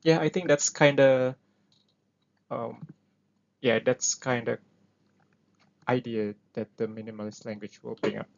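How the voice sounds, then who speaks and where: A man's voice: medium pitch, level tone, unhurried, creaky, pauses often. A man with an Indonesian accent who speaks English as a second language. He talks through ideas casually and hesitantly, with many fillers, as the host of an explainer. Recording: dull lo-fi recording.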